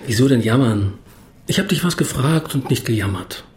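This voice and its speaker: An actor playing a thoughtful, searching writer, pensive and hesitant, in radio drama dialogue. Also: male voice, medium pitch, lively intonation, normal speed, darkish tone, natural voice. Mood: defensive. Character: thoughtful, searching male writer telling his story.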